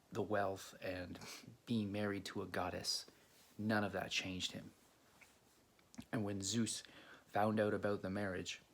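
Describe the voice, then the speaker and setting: A man from Greece hosting a video, telling a story casually and haltingly, with frequent pauses mid-sentence. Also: sits low, fairly bright tone, slight background noise. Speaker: video host. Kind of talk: casual storytelling to camera or viewers.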